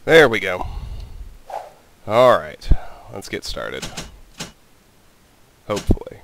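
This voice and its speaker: A man hosting a let's play, calmly talking through the game and reading its text aloud, his voice a little weak from a cold. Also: lowish voice, slow, vocal fry, a little hiss or hum, poor audio. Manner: calm, methodical, reads in-game text aloud. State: has a cold, voice slightly weak. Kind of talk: casual gameplay commentary. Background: game music.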